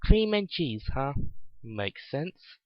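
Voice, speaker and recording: Man reading as a blunt, confused pony heroine, unhurried. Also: mid-range voice, big pitch swings, no room sound, highs cut off. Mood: blunt, unsure. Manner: blunt, casual. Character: brash, confused young female pony heroine.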